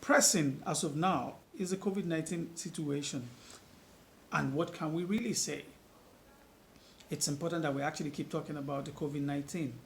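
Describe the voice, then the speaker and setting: A man's voice: a little high, normal speed, slight background noise. Earnest man reporting the news. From Nigeria. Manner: earnest, emphatic. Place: outdoor market.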